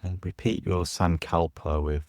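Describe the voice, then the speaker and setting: A man guiding a yoga nidra meditation as a teacher, soothing and unhurried, leaving pauses between short phrases. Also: low voice, flat delivery, dry recording, clean studio sound. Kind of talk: guided meditation instruction.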